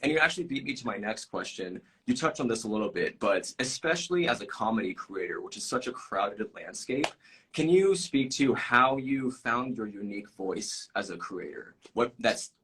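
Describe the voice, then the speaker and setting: A man's voice: mid-range voice, expressive, normal speed, pushed and bright, slightly rough, low-quality recording. A man hosting a live-stream interview, upbeat and enthusiastic, laughing often. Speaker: live show host.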